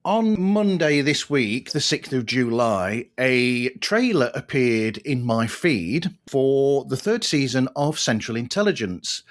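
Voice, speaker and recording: A man hosting a podcast, introducing and interviewing guests in a conversational way. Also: mid-range voice.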